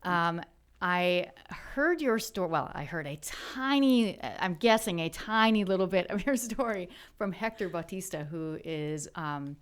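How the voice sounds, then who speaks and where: Slightly low-voiced woman talk-show host. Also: lively intonation, unhurried, clear, resonant tone. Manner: friendly, chatty, laughs often. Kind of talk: interview. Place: studio.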